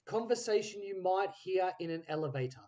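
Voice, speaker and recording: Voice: mid-range voice, animated, a little fast, a little mellow. Podcast host running improv games. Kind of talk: hosting casual improvisation games.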